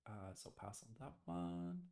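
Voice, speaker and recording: A man thinking aloud hesitantly as he gives a casual software testing walkthrough. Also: fairly high pitch, expressive, a little slow, creaky. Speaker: software tester demonstrating. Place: indoors, screen-recorded voice-over.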